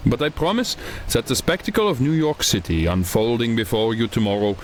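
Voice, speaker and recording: A man's voice: medium pitch, lively intonation, a little fast, natural voice. A man playing a formal, courteous and reassuring German airship captain in a radio drama. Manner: formal, polite. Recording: noisy track with ambient hum.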